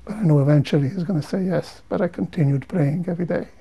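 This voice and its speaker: An older man from Romania, whose first languages are Hungarian, Romanian, Yiddish and Hebrew, giving a measured, halting interview testimony with frequent restarts. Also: fairly high pitch, creaky, warm tone, breathy edge.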